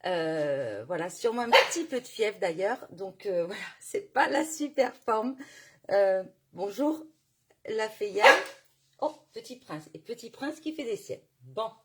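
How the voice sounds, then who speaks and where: A woman's voice: medium pitch, expressive, unhurried, heavy background noise. A woman with a cold and sore throat, hosting a casual craft live stream and greeting viewers by name, chatty with many fillers.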